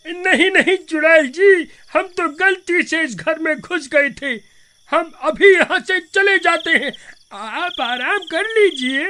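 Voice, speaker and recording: Shrill cowardly village barber. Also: male voice, slow pace, pushed and bright, full character voice. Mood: pleading. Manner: wailing, exclaiming. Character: cowardly scheming village barber, panicky and pleading.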